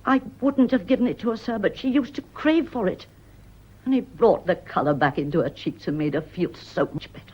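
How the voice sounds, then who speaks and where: An actress playing a loyal household servant in a radio play, deferential but defensive and easily affronted. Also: female voice, mid-range voice, lively intonation, a little fast, slightly rough, highs cut off. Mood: wary, defensive. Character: indignant, loyal female household servant under questioning.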